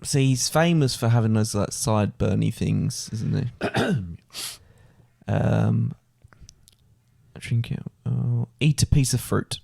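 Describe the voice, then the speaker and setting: A man on a relaxed podcast mulls over quiz answers out loud. His mid-pitched voice has a heavy gravelly fry. The sound is dry and close. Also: takes it slowly, long pauses, no echo. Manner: musing, thinking aloud. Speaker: podcast co-host, quiz contestant. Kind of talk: casual chat.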